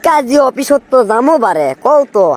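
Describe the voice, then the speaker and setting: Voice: sits high, sing-song, a little fast. An actor voicing a flirtatious village man in a comic cartoon skit, speaking with a northern Bangladeshi Bogura accent and breaking into song. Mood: comic grumbling. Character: flirtatious village man serenading women on the road.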